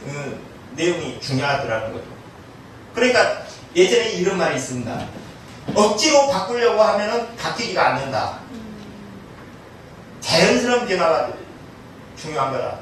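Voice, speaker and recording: A man's voice: sits high, fairly bright tone. A man speaking as a guest motivational lecturer, telling anecdotes to a live audience. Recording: very echoey distant room, faint noise.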